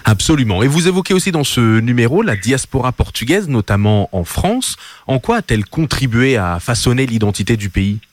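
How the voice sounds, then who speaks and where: A man's voice: mid-range voice, very animated, no room sound. A man hosting a radio interview, introducing topics and asking questions politely, with small restarts. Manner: polite, slightly halting introductions. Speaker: radio host.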